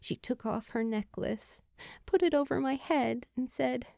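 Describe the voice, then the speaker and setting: A woman voicing an earnest, grieving teenage girl in an audiobook, halting and emotional as she speaks. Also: high voice, gravelly, darkish tone, no room sound, studio quality, pitched above the performer's own voice. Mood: wistful, vulnerable. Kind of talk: acted dialogue in audiobook narration.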